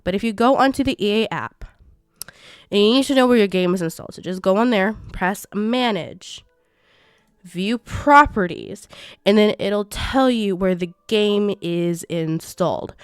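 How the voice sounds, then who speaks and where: A woman in her twenties with a congested cold voice, walking viewers through a software tutorial in a chatty, rambling way. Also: medium pitch, clear, resonant tone, no echo, clean studio sound. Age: 20s. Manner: chatty, rambling, self-correcting. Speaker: gaming YouTuber. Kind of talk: casual screen-recorded tutorial.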